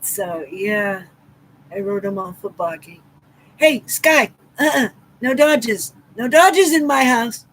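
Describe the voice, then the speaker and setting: A woman's voice: a little high, lively intonation, takes it slowly, fairly bright tone, poor audio. Chatty Massachusetts woman greeting viewers. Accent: Southeastern Massachusetts. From Massachusetts, USA. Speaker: livestream host. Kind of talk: casual livestream chat with viewers.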